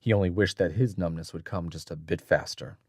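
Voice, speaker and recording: Low-voiced man narrating an adventure story. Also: brisk, dry recording, clean studio sound. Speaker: audiobook narrator. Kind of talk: scripted narration of adventure fiction.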